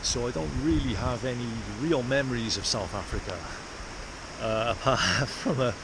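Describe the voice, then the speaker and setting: A man from South Wales, chatting casually and haltingly to camera as he explores outdoors. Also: medium pitch. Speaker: vlogger, artist explorer. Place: outdoors in rainy, windy hills. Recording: loud wind and outdoor noise.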